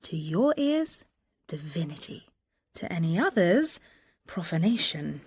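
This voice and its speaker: An actress playing a bold, passionate young woman disguised as a gentleman messenger, speaking verse earnestly and lyrically in a Shakespearean radio drama. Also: fairly low pitch, sing-song, breathy edge, no room sound, studio quality, full character voice. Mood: guarded, polite. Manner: earnest, lyrical, deliberate delivery.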